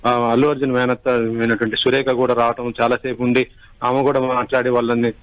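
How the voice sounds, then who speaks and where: A man giving a live unscripted news report, halting and repeating himself as he goes. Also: mid-range voice, little pitch movement, clean tone, poor audio. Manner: halting, repetitive, restarts words.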